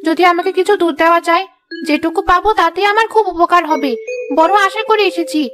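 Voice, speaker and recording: Lady doing super high squeaky village woman for a cartoon, earnest, talks fast. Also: a bit bright, soft background music. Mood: pleading. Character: pleading village sweet-shop woman and a dutiful young daughter. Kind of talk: cartoon fairy tale dialogue.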